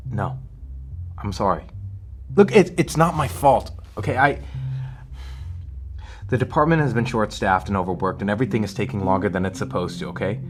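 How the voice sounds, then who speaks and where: A man's voice: on the low side, fairly quick, pauses often, a little hiss or hum, natural voice. Man acting a stammering, flustered, eager-to-please colleague. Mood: apologetic, defensive. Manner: stammering, nervous, rambling. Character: flustered, apologetic, eager-to-please male department colleague with a crush. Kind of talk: audio drama acting.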